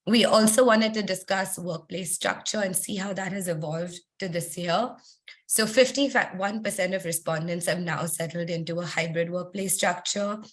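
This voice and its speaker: Woman running a webinar, kinda low voice, going hoarse, lots of ums. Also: moderate pace. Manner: conversational, with frequent ums and throat clearing. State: losing her voice. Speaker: webinar presenter. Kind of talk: presenting survey results in a webinar. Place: online webinar.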